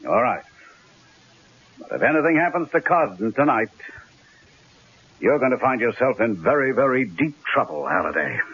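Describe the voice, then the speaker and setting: An actor playing a gruff, irascible police commissioner with a raspy voice in an old-time radio drama. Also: male voice, medium pitch, sing-song, moderate pace, long pauses, a little hiss or hum, lightly acted. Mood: angry, threatening. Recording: dull old radio recording.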